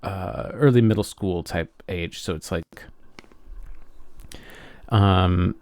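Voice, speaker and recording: A man chatting casually and reflectively as a podcast co-host, often halting as he searches for words. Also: deep voice, a little mellow, no echo.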